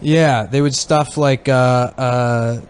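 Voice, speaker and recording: A man co-hosting a comedy podcast, riffing in a dry, rambling, deadpan way with plenty of swearing. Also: mid-range voice, takes it slowly, clear, resonant tone. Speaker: podcast co-host. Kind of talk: casual comedy podcast banter.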